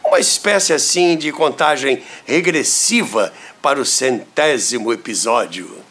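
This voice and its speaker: A man's voice: medium pitch, lively intonation, unhurried, fairly bright tone. An older Brazilian man presenting scripted narration in a dramatic, emphatic storytelling manner.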